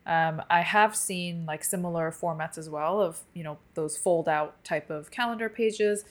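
A woman's voice: lowish voice, normal speed. A woman podcast co-host whose first language is Korean, chatting casually and easily with many fillers. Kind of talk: casual chat.

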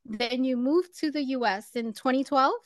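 A woman's voice: high voice, normal speed, no echo, studio quality. A woman hosting an interview show who opens with a scripted introduction, then chats with her guest in an upbeat, friendly way. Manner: warm, upbeat greeting of "loves". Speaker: podcast host.

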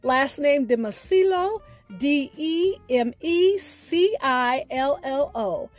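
A woman hosting a podcast, reading a scripted sign-off in a deliberate, carefully enunciated way. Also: sits high, lively intonation, faint music behind. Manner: deliberate, spells out names and details. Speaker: podcast host.

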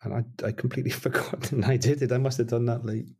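Middle-aged male musician, husky voice, loose rambling interview talk. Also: mid-range voice, fairly even intonation, quick pace, a little mellow. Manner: rambling, self-deprecating, frequent restarts. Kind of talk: casual interview chat.

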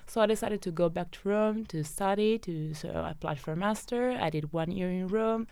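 A young Italian woman speaks English with her native accent, chatting as an interview guest with plenty of fillers and easy laughter. Her voice sits a little low, with a gravelly fry that still comes through crisp. The sound is dry and close. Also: normal speed, darkish tone, no room sound. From southern Italy. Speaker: guest, data scientist. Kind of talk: casual interview storytelling.

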